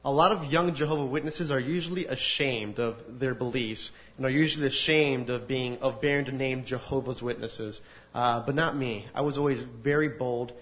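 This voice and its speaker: A man from the United States giving a personal testimony at a faith gathering, telling his story with earnest, animated energy. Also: mid-range voice, normal speed. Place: large room with an audience. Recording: dull lo-fi recording.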